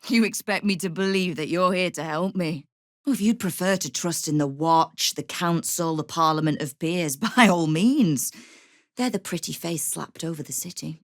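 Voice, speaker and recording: A deep-toned female crime boss from a video game, performed by an actress. She is tough and sardonic, giving orders and veiled threats with wry authority. The sound is dry, with no room around the voice. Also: moderate pace, no room sound, natural voice. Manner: wry, menacing, commanding. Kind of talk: dramatic video game character dialogue.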